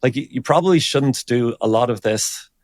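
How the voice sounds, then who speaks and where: A man giving an informal technical explanation as an interview guest, thinking aloud in a halting way. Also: mid-range voice, a little mellow, no echo. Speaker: engineering director, interview guest.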